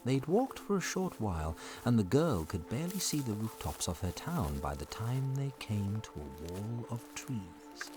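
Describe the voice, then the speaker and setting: A man narrating a scripted family adventure story in a dramatic, measured storytelling manner. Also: fairly low pitch, very animated, music in the background.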